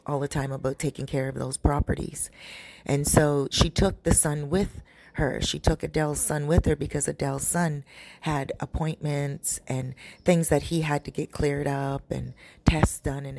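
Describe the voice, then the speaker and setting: Raspy low-voiced woman telling a story. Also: fairly even intonation, normal speed, a little mellow, a little hiss or hum. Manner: chatty, addresses viewers directly. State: dry raspy throat. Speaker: storytelling vlogger. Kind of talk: casual storytelling. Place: home in a Caribbean town.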